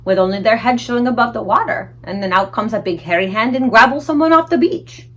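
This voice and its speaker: Gentle male giant, storybook, read by a woman: humble, kindly, folksy, jumbled words and broken grammar. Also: on the high side, lively intonation, brisk. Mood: explaining. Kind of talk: children's storybook read aloud.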